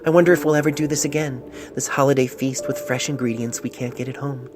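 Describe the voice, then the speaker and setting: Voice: medium pitch, fairly even intonation, quick pace, voice pushed up, lightly acted. Narrator doing a tender, lovestruck pony sweetheart. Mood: tender, loving. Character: loving, tender, sentimental pony writing a love letter. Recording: amateur phone recording with music behind.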